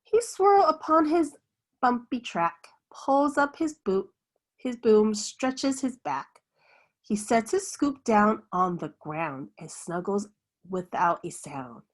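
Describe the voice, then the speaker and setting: A woman reads a picture book aloud in a fairly high voice that swoops widely in pitch. She takes her time over each phrase, with the sing-song expressiveness of a teacher telling a bedtime story to young children. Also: animated. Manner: slow, sing-song delivery with hushed bedtime shushes.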